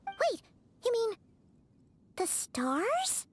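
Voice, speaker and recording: Squeaky childlike little fairy companion. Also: female voice, huge pitch swings, very slow, faint music behind, heavily acted. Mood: puzzled, concerned. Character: small childlike fairy companion, bubbly, curious, talkative. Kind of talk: video game character voice acting.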